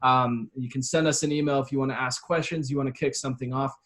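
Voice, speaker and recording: A man's voice: mid-range voice, steady tone, fast, a bit bright. A man explaining things earnestly and casually as an interview guest. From Calgary, Canada. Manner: earnest, explanatory, often voices example quotes. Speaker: guest, copywriter and consultant. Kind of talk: casual conversational interview talk.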